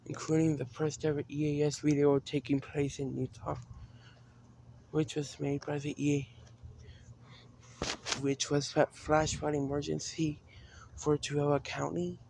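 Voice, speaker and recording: A man giving an unscripted vlog-style talk as a video creator, haltingly, often repeating and restarting his phrases. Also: a little high, little pitch movement, gravelly, noisy background. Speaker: video creator announcing a decision to fans.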